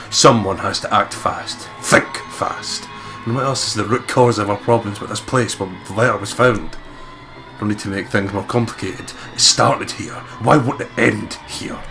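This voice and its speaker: A man reading a visual novel aloud dramatically as a let's play host, swearing and breaking into excitable joking commentary, with game music behind him. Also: fairly low pitch, vocal fry. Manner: excitable, sweary, jokey ad-libs.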